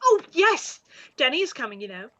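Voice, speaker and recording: A woman voices a silly teenage girl in a period drama. She gushes and whines in a high, very lively, bright voice. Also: moderate pace. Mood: excited. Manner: gushing, petulant. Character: excitable, whiny teenage girl, silly younger sister. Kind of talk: period radio play acting.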